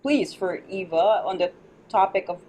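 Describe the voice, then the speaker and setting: A woman's voice: mid-range voice, moderate pace, a little mellow, slight background noise. A woman in her thirties moderating a video-call panel, playful and reading out audience questions. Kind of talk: casual panel hosting.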